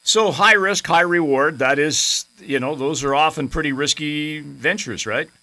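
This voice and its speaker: A man's voice: on the high side, measured pace, pushed and bright, rough recording. A man hosting a radio talk show, lightly joking and asking his guest leading questions.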